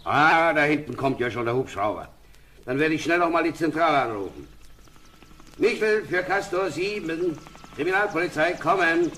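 A man's voice: fairly high pitch, expressive, normal speed. A man playing an eager, impatient, commanding police chief inspector in a radio play. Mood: businesslike. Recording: distant voice, loud helicopter noise. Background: helicopter noise.

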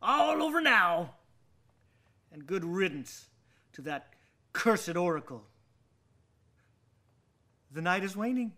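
An actor playing an obsessed, brooding young madman with murderous intent, narrating a gothic radio drama in a deliberate, theatrical way. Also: high-pitched, sing-song, bright, forceful tone, heavily acted. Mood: grim, gloating.

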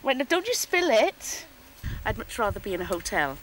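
Wry, joking woman chatting outdoors at a campsite, slightly high voice, faint outdoor noise. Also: sing-song, moderate pace, a bit bright, low-quality recording. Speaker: mother on a camping trip. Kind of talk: casual chat.

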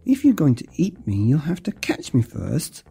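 A woman's voice: deep voice, wildly swooping pitch, moderate pace, gravelly, dark tone, slightly rough, heavily acted. A woman voicing a small, fearless girl in a storybook, cheeky and matter-of-fact. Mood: cheeky, bold.

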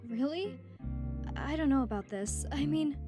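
A woman's voice: high-pitched, animated, a little slow, over music. A woman voicing an earnest, anxious young unicorn student in a cartoon audio drama. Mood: hesitant. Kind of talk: animated fan audio drama.

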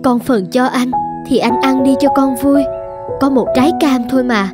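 An actress voicing a gentle, self-sacrificing young peasant mother in a Vietnamese cartoon, speaking tenderly. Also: sits high, steady tone, normal speed, close to an everyday voice. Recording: loud background music. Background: music.

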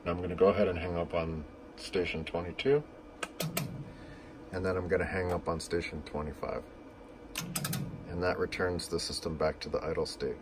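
A man's voice: low voice, little pitch movement, normal speed, long pauses, noisy background. A man giving an informal, unscripted technical demonstration in a matter-of-fact, explanatory way, recorded indoors on a camera microphone. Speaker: demonstrator of vintage telephone equipment. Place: indoors beside an equipment cabinet.

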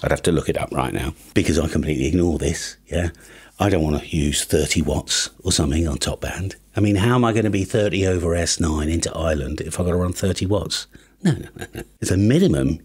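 A man's voice: low voice, brisk, a little mellow, breathy edge. A British man in his fifties giving a chatty, tongue-in-cheek comic monologue to camera as a presenter. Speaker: YouTube ham radio presenter. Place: small home studio with acoustic panels. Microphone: studio microphone on boom arm.

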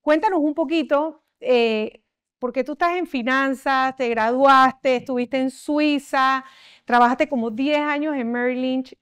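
A woman in her forties hosting a studio TV interview, asking polished and lively questions on a lapel mic. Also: high-pitched, measured pace, smooth, dry recording. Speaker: TV interview host. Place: TV studio set.

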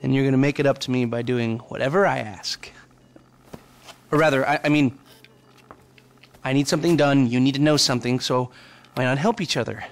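An actor playing a bitter, brainy middle-aged criminal hacker in a video game, with an American accent and a wordy, sardonic delivery. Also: male voice, mid-range voice, a little fast, pauses often, natural voice. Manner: wordy, sardonic, foul-mouthed. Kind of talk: video game cutscene acting.

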